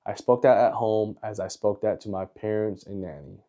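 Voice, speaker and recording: Low, mellow young American man, muffled audio. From United States. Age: 20s. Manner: earnest, deliberate, reflective. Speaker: vlogger. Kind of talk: confessional video diary.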